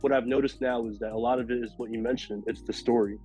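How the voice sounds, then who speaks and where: A man with a raspy, hoarse voice from a cold, rambling casually as a podcast guest with many fillers. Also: mid-range voice, fairly even intonation, quick pace, warm tone, faint music behind. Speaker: podcast guest, food illustrator.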